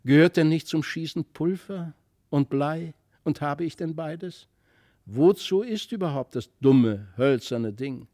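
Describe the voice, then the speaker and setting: A man's voice: a little high, unhurried, dark tone, no echo, clean studio sound, natural voice. A man voicing a headstrong, scornful young boy with an emphatic delivery, in a dramatic storybook reading. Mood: indignant.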